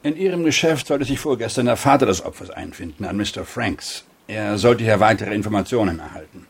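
An actor playing a matter-of-fact police detective who probes soberly in a radio crime drama. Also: male voice, on the low side, expressive, a little fast, creaky, slightly rough, full character voice. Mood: probing. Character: sober, probing police detective on a murder case.

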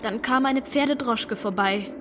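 Actress playing young woman under interrogation, fairly high voice, insistent, defiant, short answers, radio drama, music underneath. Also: a little slow, close to an everyday voice. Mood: tense insistence. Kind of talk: radio play acting.

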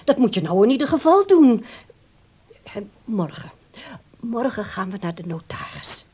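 A woman's voice: on the high side, sing-song, measured pace, dark tone, breathy edge, full character voice. An actress playing a nagging, self-sacrificing daughter who manages her old father in a radio drama, coaxing and insistent. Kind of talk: naturalistic radio drama acting.

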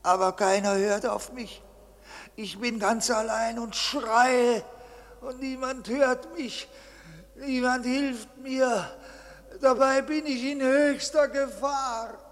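An actor playing a delirious, guilt-ridden bureaucrat in a 1950s German radio play, halting and anguished as he pleads. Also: very high voice, fairly even intonation. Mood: desperate pleading. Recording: 1950s radio studio, long reverb.

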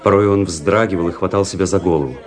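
A man playing a composed, daring army officer who narrates his own tale in a measured, grave, literary way for a radio play. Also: sits low, a crowd in the background, background noise, lightly acted. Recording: music behind the voice.

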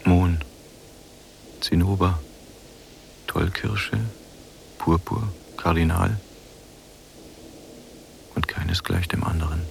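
An actor playing an old blind prisoner in a literary radio play, speaking in halting, solemn words and brooding. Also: male voice, low voice, steady tone, vocal fry, slight background noise, exaggerated voice. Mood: brooding, intense.

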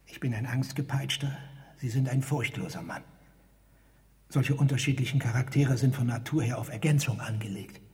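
A male actor plays a timid, self-abasing attendant in a theatrical radio drama. He has a medium-pitched voice and pleads in stilted, elevated phrases. A long reverb surrounds him. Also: normal speed, darkish tone, natural voice. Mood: pleading, self-abasing. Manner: formal, stilted, pleading diction.

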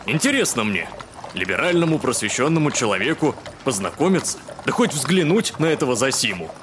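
Man playing haughty liberal gentleman, irritable, animated pitch, noisy ambient background, period drama. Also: fairly quick, lightly acted. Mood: lecturing. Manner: pompous, fussy, indignant. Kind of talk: audio drama acting.

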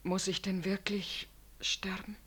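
A woman acting in a 1960s radio drama as a timid hospital nursing sister, hesitant and subdued, with a breathy, hushed voice. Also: mid-range voice, flat delivery, slow pace. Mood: hushed, frightened.

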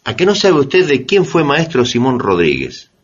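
An actor playing an earnest, emphatic storyteller, delivering dramatic scripted narration for radio theatre. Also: male voice, medium pitch, huge pitch swings, normal speed, husky, dull audio. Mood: hopeful, rousing.

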